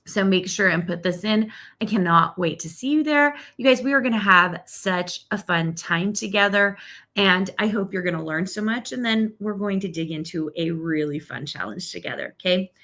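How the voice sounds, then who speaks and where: A middle-aged woman hosts a live marketing lesson, upbeat and chatty, often checking in with her viewers. Her voice sits at an ordinary middle pitch, and she says she is losing her voice. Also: moderate pace, sharp tone. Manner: upbeat, chatty, with frequent "okay?" and "right?" check-ins. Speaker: marketing coach hosting a live stream. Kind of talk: live-stream teaching.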